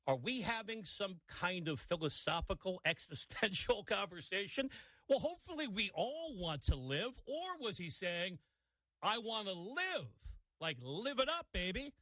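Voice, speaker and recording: A man's voice: sits high, big pitch swings, a little slow, sharp tone, no room sound. An older man hosting a sports talk radio show, giving an opinionated monologue with wry asides. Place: radio studio.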